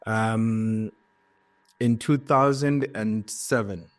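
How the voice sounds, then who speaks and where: A man's voice: on the low side, steady tone, extremely slow. A man from Zimbabwe, a guest recounting his journey in a podcast interview, earnest and reflective, with many "you know" fillers. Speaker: guest entrepreneur.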